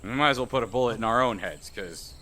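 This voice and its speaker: An actor playing an alarmed, fatalistic survivor with an emphatic, sardonic delivery in an audio drama. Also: male voice, mid-range voice, quick pace, sharp tone, a little hiss or hum, close to an everyday voice. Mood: urgent, fatalistic. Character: alarmed, foul-mouthed, fatalistic male survivor.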